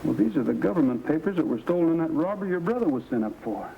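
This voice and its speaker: Muffled hissy old western, american cowboy hero, serious, takes charge, giving orders straight. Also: male voice, a little high, very animated, racing, vocal fry. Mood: grave, serious. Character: calm, authoritative young cowboy rancher hero. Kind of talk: old western film dialogue acting. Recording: dull, noisy vintage western soundtrack.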